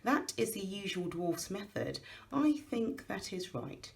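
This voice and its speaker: A woman's voice: medium pitch, sing-song, measured pace, creaky, voice pushed up. A woman acts out an old male wizard from a storybook. The voice swoops widely and leans hard on words. He is commanding, wry and knowing, declaiming as if he is in charge. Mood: explaining.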